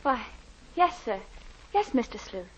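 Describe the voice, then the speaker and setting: An actress playing a naive, pert young daughter, quick to indignation, in 1940s radio style. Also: female voice, fairly high pitch, very animated, slight background noise. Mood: innocent, puzzled. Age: young adult. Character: spirited, naive young daughter who loves dancing. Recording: dull 1940s radio recording.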